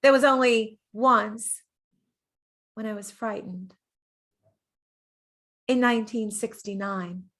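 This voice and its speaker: Gentle, wistful woman acting a devoted mistress, higher-pitched. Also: fairly even intonation, takes it slowly, long pauses. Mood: wounded, forgiving. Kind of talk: theatrical dramatic monologue.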